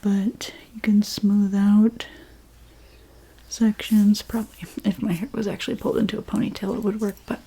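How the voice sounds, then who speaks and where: A woman whispering in an ASMR role play as a hairstylist, gently talking through each step in a breathy, mostly unvoiced voice. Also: mid-range voice, level tone. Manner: gentle, unhurried, step-by-step personal attention. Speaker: ASMR creator playing a hairstylist.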